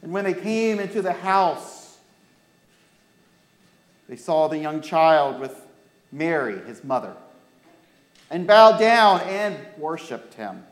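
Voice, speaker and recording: American man, pastor telling a story to his church. Slow, deliberate delivery, speaks directly to listeners, reverberant room. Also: fairly high pitch, very animated. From United States. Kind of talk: storybook-like Bible reading to a congregation. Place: church during a worship service. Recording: echoey room.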